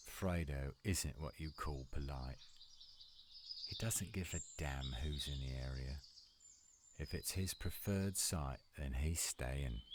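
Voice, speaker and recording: Gruff tsundere werewolf boyfriend male actor, rock-bottom pitch, teasing and folksy, intimate roleplay, heavy woodland bird and insect noise. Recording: noisy outdoor ambience with birdsong, insects. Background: birdsong and insects in woods.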